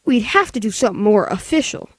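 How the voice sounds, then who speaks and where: A man voicing a polite but unhelpful female telephone operator in an old radio play, formal and measured. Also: high-pitched, animated, a bit bright, husky, no echo, clean studio sound, exaggerated voice. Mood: officious. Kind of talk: 1940s radio play acting.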